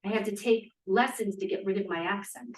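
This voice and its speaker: An actress playing an earnest, idealistic young Russian émigré scientist in a 1950s period drama at a table read. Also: female voice, mid-range voice, a little fast, close to an everyday voice. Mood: confessional, sad. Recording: echoey room, distant microphone.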